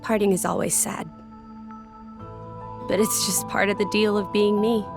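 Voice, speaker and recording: A woman voicing a gentle, wistful, youthful immortal in a video game, soft and reflective. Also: mid-range voice, steady tone, a little slow, vocal fry. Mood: tender, accepting. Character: gentle, wistful, centuries-old youthful female Blade. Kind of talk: video game character acting. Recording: music behind the dialogue.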